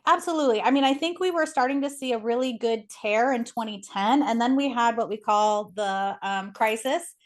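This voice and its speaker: A woman's voice: on the high side, normal speed, hardly any pauses. A woman speaking as an expert interview guest, explaining things conversationally in an articulate, enthusiastic way.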